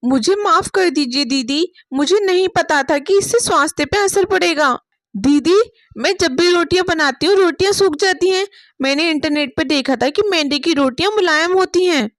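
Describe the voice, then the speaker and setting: Woman doing a timid young new bride in a storybook tale, worried, high voice, dry sound. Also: moderate pace, no echo. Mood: apologetic. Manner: worried, self-doubting. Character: young new daughter-in-law, anxious, timid, eager to please. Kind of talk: dramatized storybook dialogue.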